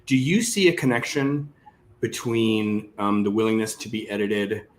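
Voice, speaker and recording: A man with a fairly low voice hosts an interview in a conversational style. He feels his way tentatively through long questions, rewording as he goes. Faint ambient noise is audible behind him. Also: normal speed. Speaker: interview host.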